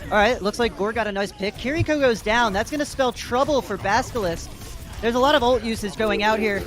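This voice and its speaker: A man doing energetic play-by-play commentary for an online esports tournament. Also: sits high, fairly quick. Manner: energetic, rises to excited shouts in big plays. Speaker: play-by-play caster.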